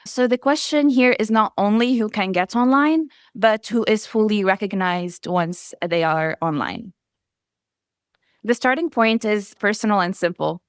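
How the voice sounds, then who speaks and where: Woman, native Arabic speaker, smooth clear voice, dry close sound, measured presentation. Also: medium pitch, lively intonation, dry recording. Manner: measured, enumerating lists steadily. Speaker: researcher presenting. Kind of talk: prepared conference presentation.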